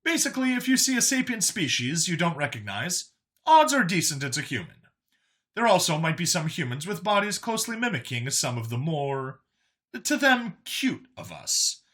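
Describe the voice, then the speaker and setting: A man narrates scripted science fiction with a bright, forward voice that sits a little high. His pitch swings widely from phrase to phrase, while the delivery stays wry and expository, like an official briefing. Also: very animated, normal speed. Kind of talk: scripted dramatic story narration as an alien official giving a briefing.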